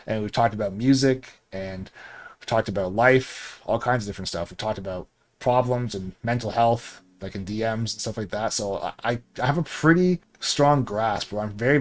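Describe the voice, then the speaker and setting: A man, a content creator and coach, talking casually to his viewers in a rambling monologue full of fillers. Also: medium pitch. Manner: conversational, rambling with frequent fillers.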